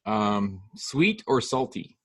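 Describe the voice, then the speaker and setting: A middle-aged man hosting a casual interview podcast, upbeat and quick with his questions, laughing easily. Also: on the low side, sing-song, husky. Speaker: podcast host.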